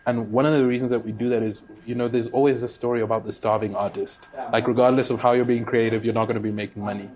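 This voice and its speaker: A man from South Africa hosting a podcast, asking questions in a relaxed, musing conversational way. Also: medium pitch, fast talker, few pauses. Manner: relaxed, musing, frequent agreeing backchannel. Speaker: podcast host. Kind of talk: conversational interview. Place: conference.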